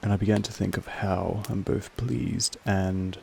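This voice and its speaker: Possessive male vampire master played by a man for roleplay audio: low, evenly pitched, measured, patronizing, aloof. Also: steady tone, creaky, dark tone, a little hiss or hum, exaggerated voice. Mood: measured, proud. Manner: measured, formal, condescending. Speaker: voice actor in a roleplay audio drama. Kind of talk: slow intimate monologue addressed to the listener.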